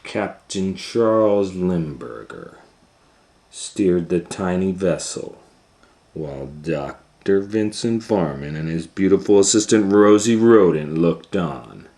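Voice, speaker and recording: A man hosting storytime, reading a children's story aloud in a theatrical way with dramatic pauses and swells. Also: deep voice, animated, mellow. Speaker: storytime reader and host. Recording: roomy, echoing sound.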